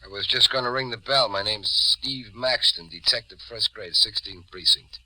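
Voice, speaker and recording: An American actor playing a big, stern police detective in a 1940s radio crime drama, terse and authoritative. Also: male voice, on the low side, normal speed, bright, forceful tone, husky, no room sound, exaggerated voice, rough recording. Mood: stern, suspicious. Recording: vintage 1940s radio recording.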